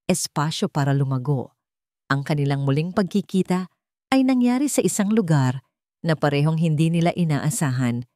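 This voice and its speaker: A woman narrating a scripted love story in a dramatic, measured storytelling manner. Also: low voice, mellow, no room sound, studio quality.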